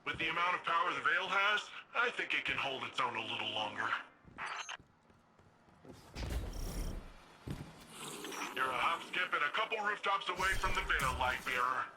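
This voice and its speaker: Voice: mid-range voice, animated, quick pace, hardly any pauses, lightly acted. An actor playing an upbeat, wisecracking young cyborg protector in a video game, with a breezy, informal manner. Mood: playful, reassuring. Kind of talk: video game radio dialogue. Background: music.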